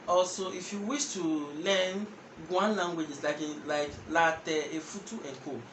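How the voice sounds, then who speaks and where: A man's voice: high voice, little pitch movement, unhurried, fairly bright tone. A young man with a Ghanaian accent hosting a casual language lesson straight to camera, explaining step by step and repeating words as he goes. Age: young adult. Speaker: language teacher and channel host. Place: indoor room with patterned wall. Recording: echoey room with background noise.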